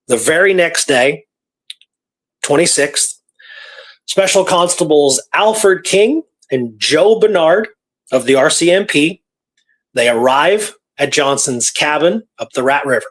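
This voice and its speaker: Man telling history in a halting way. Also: mid-range voice, fairly bright tone, rough recording. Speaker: radio show co-host. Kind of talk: informal historical storytelling.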